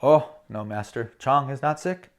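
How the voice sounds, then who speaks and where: A man voicing a humble, devoted Chinese male butler who speaks slowly and softly in broken, formal phrases. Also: medium pitch, voice pushed down, natural voice.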